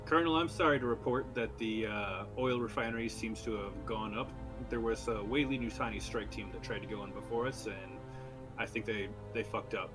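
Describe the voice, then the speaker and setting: A man's voice: fairly low pitch, brisk. A man playing a tabletop roleplaying game, improvising in-character military dialogue. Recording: live stream with music underneath. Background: music.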